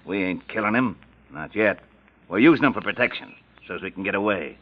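An actor playing a ruthless, sneering outlaw leader who bullies in slangy talk in an old radio western. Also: male voice, low-pitched, animated, brisk. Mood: scheming, menacing. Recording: dull, band-limited old radio recording.

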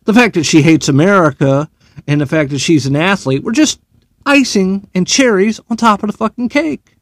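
A man's voice: sits high, moderate pace, no room sound, clean studio sound. A man, a military veteran, delivering a profane, sarcastic and heated opinion monologue.

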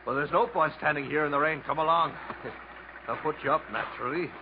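An actor playing a lonely, leering trading-post keeper who sounds outwardly hospitable, clipped and oily, in 1950s radio drama style. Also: male voice, fairly high pitch, fairly quick, lightly acted. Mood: brisk, hospitable. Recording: muffled, noisy 1950s radio broadcast.

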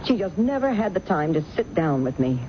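An actress playing a worldly, composed show-business manager in a 1970s radio drama, knowing and conversational. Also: female voice, fairly low pitch, sing-song, a little fast. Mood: confiding. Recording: muffled, noisy old radio recording.

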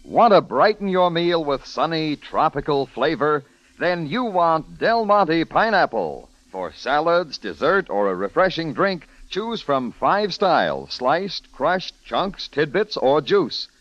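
A man's voice: a little high, big pitch swings, slow pace, fairly bright tone, no room sound. A man working as a 1940s-style radio announcer, reading ads and credits with polished, emphatic salesmanship. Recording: muffled old radio broadcast, music behind. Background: music.

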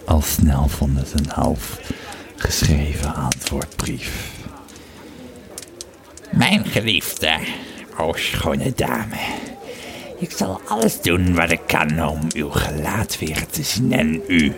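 A man playing an old Roman legionary veteran in an audio play, telling a grim memory in a halting, dramatic way. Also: a bass voice, sing-song, gravelly, crowd noise, heavily acted. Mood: tender, reading aloud. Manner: slow, halting, dramatic storyteller. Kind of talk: in-character storytelling in an audio play.

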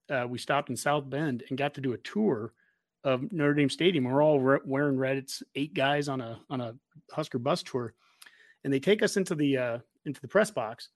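A man's voice: medium pitch, no room sound. A man talking casually and effusively as a sports podcast host, full of enthusiasm for his subject.

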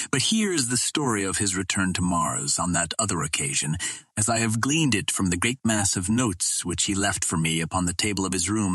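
A man reading an old adventure novel as an audiobook narrator, in a measured, dramatic storytelling manner. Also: fairly low pitch, fairly bright tone, husky, no echo, clean studio sound.

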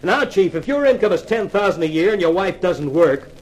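An actor playing a cocky, sardonic newspaper columnist in a 1950s radio detective drama, with a wry, clipped delivery. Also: male voice, high-pitched, lively intonation, a little fast, rough recording. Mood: pressing. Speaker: actor playing the lead and narrator.

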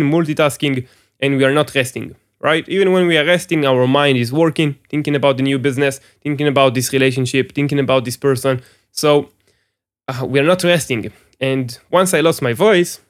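A man hosting a solo podcast, speaking earnestly through throat pain, with frequent restarts, repeated words and questions to the listener. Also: medium pitch. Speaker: podcast host.

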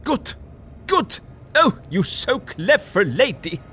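An actor playing a fervent, devout older professor who speaks formal, stilted English in an audio drama. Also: male voice, sits high, big pitch swings, takes it slowly, fairly bright tone, slight background noise, full character voice. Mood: eager. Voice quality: old-fashioned, stilted foreign-sounding phrasing. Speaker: vampire hunter professor.

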